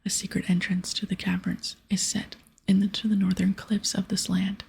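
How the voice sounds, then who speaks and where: Whispering woman, breathy ASMR gamer. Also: medium pitch, level tone, normal speed, darkish tone. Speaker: host of an ASMR gaming series. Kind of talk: ASMR, whispered casual game playthrough with dialogue read aloud.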